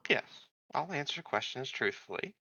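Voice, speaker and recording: A man playing a tabletop roleplaying game, stammering at first and then speaking slowly and deliberately in character. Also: medium pitch, animated, creaky, sharp tone, slightly rough, no echo, highs cut off. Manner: halting, stammering starts, then slow measured speech. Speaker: player. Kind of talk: in-character roleplay as a synthetic colonist.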